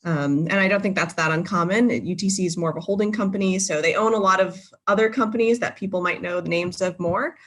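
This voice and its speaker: A woman's voice: mid-range voice, fast, hardly any pauses. A young woman in her twenties, a guest speaker giving a casual online talk about her career, with many ums and restarts. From Boston, Massachusetts. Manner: friendly, many filler ums and uhs, self-correcting. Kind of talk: casual presentation to a live virtual audience. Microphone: video call.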